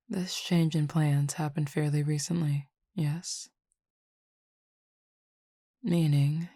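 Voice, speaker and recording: A woman playing a calm, teasing Cupid roommate with formal, measured speech in an intimate roleplay audio drama. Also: deep voice, creaky, breathy edge, no echo, clean studio sound, full character voice. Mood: calm, curious.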